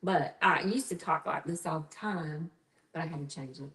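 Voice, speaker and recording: A low-pitched woman with a slight Southern accent talks in an outgoing, upbeat way, quick to agree. Her voice has a roomy echo around it. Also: fairly bright tone. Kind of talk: casual chat.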